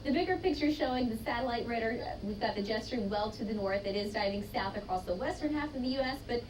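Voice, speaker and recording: A quick-paced American woman delivers a live TV weather forecast in an average-pitched voice. She sounds a little far from the mic, with clear noise behind her. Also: lively intonation. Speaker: TV weather presenter. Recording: clearly noisy, distant broadcast control-room feed.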